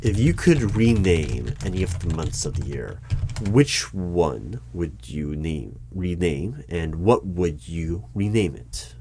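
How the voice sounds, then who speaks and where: Male performer as cautious bureau hiring manager, ASMR roleplay. Deep, slow, hesitant, dryly polite. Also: a little hiss or hum, heavily acted. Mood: polite, bemused. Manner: hesitant, deadpan, measured. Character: wary, polite hiring manager of a secret bureau interviewing a dragon. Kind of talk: one-sided ASMR interview roleplay. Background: computer keyboard typing and clicks.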